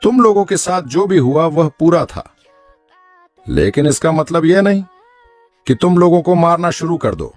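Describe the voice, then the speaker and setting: A man's voice: fairly high pitch, big pitch swings, a little slow, long pauses, a little mellow, soft background music. A man voicing an earnest, reasoning train passenger in a cartoon story. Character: curious, reasoning male train passenger and husband.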